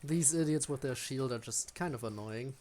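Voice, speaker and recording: Game streamer, mid-range pitch, casual off-the-cuff play-by-play, talking to self and to on-screen enemies. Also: moderate pace, a little mellow. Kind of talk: casual live gameplay commentary.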